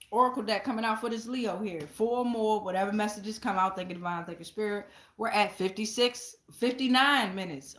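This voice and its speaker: An American woman giving a casual, rambling tarot reading to viewers, blunt and slangy, with heavy cursing. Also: medium pitch. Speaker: tarot card reader hosting her show. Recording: echoey room.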